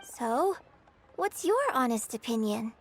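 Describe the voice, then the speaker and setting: A woman voicing a poised, polite young female opera performer in a video game, measured and earnest. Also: a little high, animated, faint music behind. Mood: earnest, composed.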